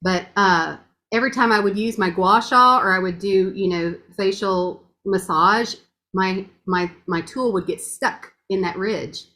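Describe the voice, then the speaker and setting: A woman's voice: medium pitch, measured pace, sharp tone. A woman talks in a casual, gushing testimonial. Her voice is strong. She laughs often and keeps the talk chatty. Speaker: guest giving a testimonial.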